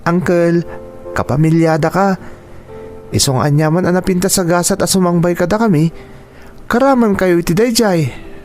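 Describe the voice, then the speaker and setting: An actor with a Filipino accent playing a devoted young nephew, earnest and respectful, in a radio drama. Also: male voice, high-pitched, moderate pace, pauses often, a little mellow, soft background music, close to an everyday voice. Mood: earnest, reassuring. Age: young adult.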